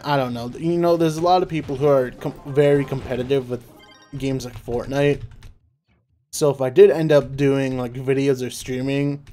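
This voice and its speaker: A young man talking casually in a laid-back monotone over his gameplay, with lots of fillers. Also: mid-range voice. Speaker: gaming YouTuber.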